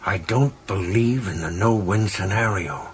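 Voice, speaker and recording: A man's voice: on the low side, measured pace, a little hiss or hum, natural voice. A man voicing a stubborn, defiant young male space academy cadet in an audiobook, challenging and earnest. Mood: firm, defiant. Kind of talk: audiobook character dialogue.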